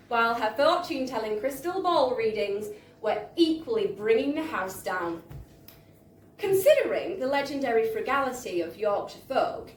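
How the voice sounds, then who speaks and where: High-pitched woman reading a story, echoey room. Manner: expressive, theatrical delivery. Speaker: reader of a book excerpt. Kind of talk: live dramatic storytelling reading. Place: live book launch in a bar. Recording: echoey live venue, distant mic, faint noise.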